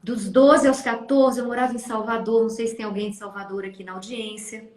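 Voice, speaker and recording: A Brazilian woman in her fifties, a therapist telling her life story in an interview, lively and expressive, often tagging phrases with a questioning aside. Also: fairly high pitch, brisk. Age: 50s. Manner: animated, acts out quoted voices, frequent "né?". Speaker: guest, family constellation therapist. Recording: echoey room.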